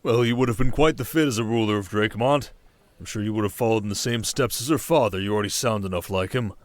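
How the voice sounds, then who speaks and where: A protective desert king from an ASMR fantasy drama, acted by a man. His voice is low, steady and measured. He speaks intimately, as a devoted husband would to his queen. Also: no echo, lightly acted. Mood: coolly defiant. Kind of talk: ASMR audio roleplay drama.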